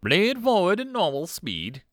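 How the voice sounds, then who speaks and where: A man's voice: high-pitched, wildly swooping pitch, fairly bright tone, no echo, studio quality, voice pushed up, full character voice. Man playing a grave, formal, suspicious alien. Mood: irritated, commanding. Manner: formal, precise, deliberate. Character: grave, suspicious male insect-like alien with many limbs.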